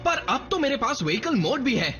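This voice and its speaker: An actor playing an eager, cheeky young robot rookie in a cartoon dub. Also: male voice, extremely high pitch, sing-song, moderate pace, pushed and bright, faint music behind, exaggerated voice. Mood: determined.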